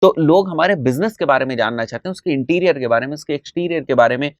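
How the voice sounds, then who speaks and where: A man's voice: fairly high pitch, animated, quick pace, no room sound. Dry-sounding Indian man teaching a tutorial. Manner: didactic, often checks with tags like "okay" and "right"; mixes English terms and phrases into speech. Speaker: digital marketing instructor. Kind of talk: explanatory tutorial, conversational.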